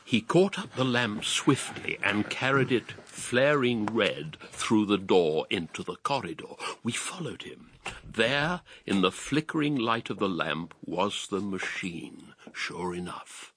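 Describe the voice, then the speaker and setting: An actor playing an elderly, wry English writer with a creaky voice, reminiscing in a radio drama. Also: male voice, on the low side, lively intonation, slow pace, a bit bright, heavily acted. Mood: reflective. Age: older. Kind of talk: radio drama acting and narration.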